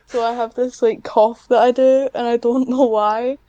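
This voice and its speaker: A woman's voice: on the high side, flat delivery, moderate pace, warm tone, no room sound, lo-fi sound. A woman chatting casually as a podcast guest, talking in a hesitant, self-deprecating way. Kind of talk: casual one-on-one interview chat.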